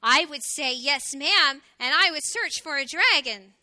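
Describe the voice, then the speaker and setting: A woman's voice: sits high, lively intonation, measured pace, bright, forceful tone, dry recording, studio quality, exaggerated voice. A woman voicing an eager, adoring little boy hedgehog in a children's storybook, with exuberant, emphatic declarations. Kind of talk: children's storybook read aloud.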